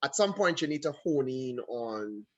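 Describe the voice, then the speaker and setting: A man from Trinidad and Tobago with a Trinidadian accent, telling his story as a guest in a casual video call interview, animated and full of quick asides. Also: medium pitch, highs cut off.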